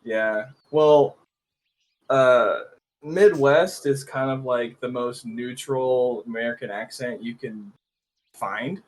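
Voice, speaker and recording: A man's voice: mid-range voice, takes it slowly, rough recording. A young man with a Californian accent, chatting casually and laughing often. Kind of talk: casual chat on a livestream.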